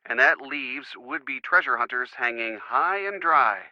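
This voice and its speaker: A man's voice: mid-range voice, expressive, measured pace, pushed and bright, no echo, highs cut off. An actor playing an easygoing young American boyfriend in a video game, teasing and wryly sarcastic in his phone dialogue. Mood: curious, wry. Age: young adult. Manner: wry, playful sarcasm.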